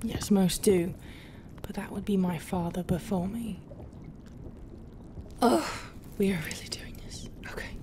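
A woman's voice: medium pitch, measured pace, lightly acted. A woman playing a haughty, bitter pirate captain in an ASMR roleplay, scornful and often dropping into whispers. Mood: scornful, cold. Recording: clear ambient noise behind the voice.

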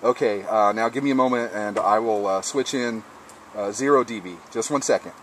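A man's voice: lowish voice, normal speed, fairly bright tone. Man on amateur radio, faint noise. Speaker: amateur radio operator testing a rig. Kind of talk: casual radio contact. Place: outdoors on a patio table beside a lawn. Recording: faint background noise, recorded outdoors.

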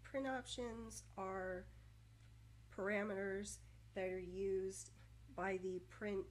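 A woman narrating a scripted software tutorial, stuttering with long pauses and repetitions and over-enunciating every word. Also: medium pitch, fairly even intonation, poor audio.

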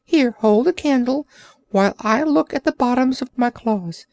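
Woman doing a whiny high orc. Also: normal speed, gravelly, darkish tone, no echo. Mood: complaining. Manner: groaning, self-pitying, plaintive. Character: whiny complaining male orc creature. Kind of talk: storybook reading.

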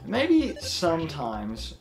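A young man hosting a let's play, commentating casually in a self-described monotone, rambling through his explanations. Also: on the high side, extremely slow, music in the background.

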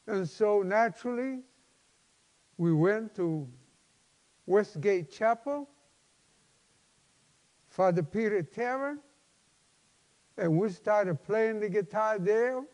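High-pitched elderly preacher in a reverberant hall. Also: male voice, slow pace, pauses often, a little mellow, dull audio. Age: 70s. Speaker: pastor. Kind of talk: sermon with personal testimony. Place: large church sanctuary, at a lectern.

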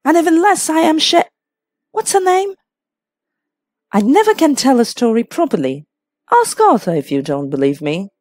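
A woman's voice: high-pitched, big pitch swings, normal speed, pauses often, a little mellow, no echo, pitched above the performer's own voice. A woman voicing a stubborn, flustered upper-class hostess in a mystery audiobook, protesting plaintively. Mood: indignant, flustered. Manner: indignant, obstinate protesting.

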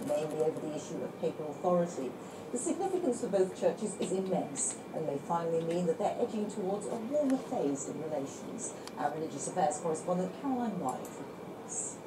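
A woman presenting broadcast news in a scripted style, heard at a distance in a roomy, noisy recording. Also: fairly low pitch. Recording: distant, roomy playback with loud background noise.

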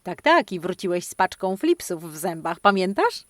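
A woman hosting a children's podcast, telling a playful interactive story in an animated, exclamatory way, speaking straight to kids and a dog. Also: fairly low pitch, normal speed, no echo, clean studio sound. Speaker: host of a children's speech-exercise podcast.

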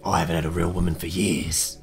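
A man's voice: deep voice, flat delivery, brisk, gravelly, faint music behind. A man playing a blunt, grumbling adventurer in a tabletop game, with a wry, bossy manner. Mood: impatient.

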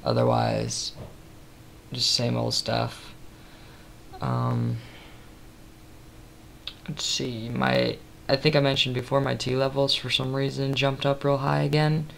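A young man in his twenties giving a casual late-night webcam update, tired and slightly raspy, rambling with many ums. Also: lively intonation, slow, long pauses, a little hiss or hum. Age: 20s. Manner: rambling, many ums, soft and low-key. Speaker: vlogger. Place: small room indoors. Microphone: built-in webcam mic at close range.

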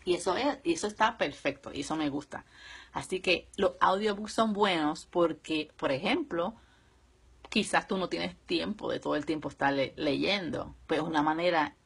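A woman in her thirties or forties with a Puerto Rican accent and a slightly husky voice, explaining things casually and step by step to a live audience at home. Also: fairly low pitch, moderate pace, fairly bright tone. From Puerto Rico. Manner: conversational, explaining step by step with examples. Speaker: homeschooling mother and live video host. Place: small room at home, indoors. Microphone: phone or webcam at close range.

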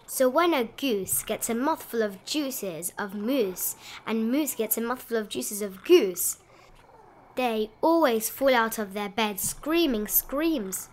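High-voiced woman reading a rhyming storybook. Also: expressive, slow. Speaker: storybook narrator. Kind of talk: expressive read-aloud of a rhyming children's bedtime picture book.

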